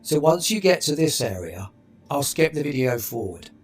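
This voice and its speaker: Brief: male tutorial narrator, lively pitch, relaxed, faint music under. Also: moderate pace.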